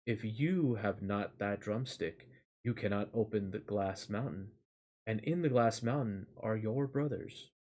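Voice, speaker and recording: A man's voice: on the low side, a little slow, a little mellow. A man voicing a helpful morning star spirit from a storybook, giving instructions solemnly.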